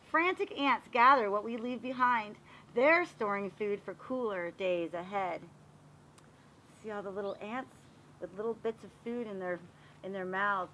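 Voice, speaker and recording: A woman reading a picture book aloud to young children, animated and expressive, pausing to explain and ask questions. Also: mid-range voice, slight background noise, rough recording. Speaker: read-aloud storyteller for children.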